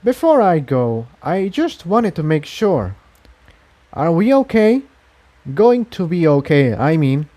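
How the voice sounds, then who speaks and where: A man's voice: fairly high pitch, very animated, slow pace, mellow, voice pushed up. A man voicing a wary, anxious female pony with a worried, questioning manner in a fantasy story reading.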